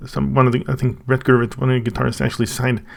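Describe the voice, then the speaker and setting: Gravelly man with a Swedish first language. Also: mid-range voice, little pitch movement. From Sweden. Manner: halting, thinking aloud with many restarts. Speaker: channel host. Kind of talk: casual unboxing review.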